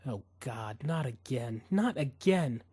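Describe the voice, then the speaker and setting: A man's voice: mid-range voice, animated, takes it slowly, warm tone, breathy edge, no room sound, studio quality. A man voicing a frightened young mother who pleads urgently with a gunman in an audiobook thriller. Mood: scared pleading.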